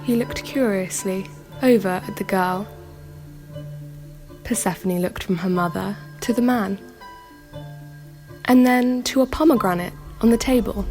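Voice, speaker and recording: A thoughtful young daughter in a radio play, acted by a woman. She narrates in an unhurried, measured storybook manner at a medium pitch. Soft music plays behind her. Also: natural voice. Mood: measured, lyrical. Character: pensive daughter telling her own dark fairy tale.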